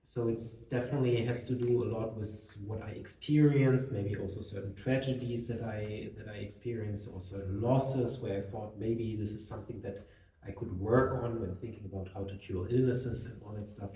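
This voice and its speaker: A man on a discussion panel, answering in a measured way with frequent restarts. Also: on the low side, fairly even intonation, warm tone. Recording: echoey room, mic far off.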